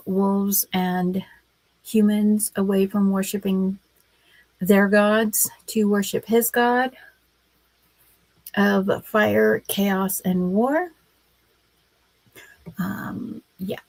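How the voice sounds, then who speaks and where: A woman hosting a casual writing livestream, her voice scratchy from a cold, talking in a slow, halting way with many pauses. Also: mid-range voice, vocal fry, a little mellow, no echo.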